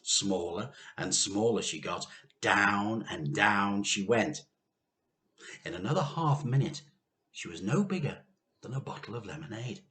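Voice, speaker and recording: A man reading a children's story aloud with expressive, theatrical narration and dramatic pauses. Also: lowish voice.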